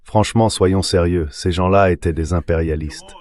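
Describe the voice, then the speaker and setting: Male voice-over, deep register, for dubbed political analysis. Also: fairly even intonation, normal speed, a little mellow. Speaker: voice-over translator of a political commentator.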